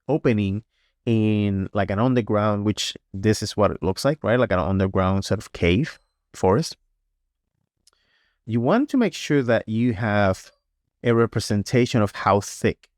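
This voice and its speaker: A man teaching a digital painting paintover, sounding congested and a little hoarse, talking casually with frequent "right?" checks and small restarts. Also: lowish voice, a little mellow, dry recording, clean studio sound. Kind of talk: tutorial voice-over.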